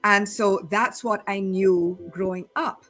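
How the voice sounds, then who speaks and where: A woman from Barbados with a Barbadian accent, reflecting on personal experience in a panel discussion, deliberate and emphatic in her repetition. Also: medium pitch, fairly even intonation, fairly bright tone. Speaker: panel speaker.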